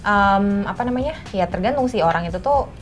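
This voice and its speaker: A woman's voice: medium pitch, animated, brisk. A young woman in a casual group discussion, tentative and searching for words. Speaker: talk show panelist. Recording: music playing behind the talk. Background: background music.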